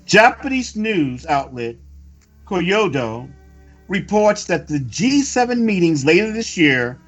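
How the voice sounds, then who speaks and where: Man, very slow delivery, emphatic preacherly news narration, muffled recording, faint music bed. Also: high-pitched, big pitch swings, a bit bright. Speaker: religious report reader and commentator. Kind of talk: scripted narration of a news report with preacherly commentary. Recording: dull lo-fi audio with music. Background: background music.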